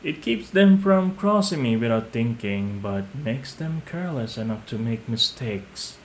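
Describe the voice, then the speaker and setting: A man playing a young mafia heir in an audio roleplay, measured and polite on the surface, condescending and manipulative underneath. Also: medium pitch, expressive, natural voice. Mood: smug. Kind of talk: scripted audio roleplay addressed to the listener. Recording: roomy echo, some background noise.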